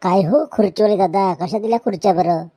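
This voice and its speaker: A woman's voice: medium pitch, fairly quick, mellow, breathy edge, close to an everyday voice. A woman voicing a chatty village customer who rambles eagerly and haggles. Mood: eager haggling.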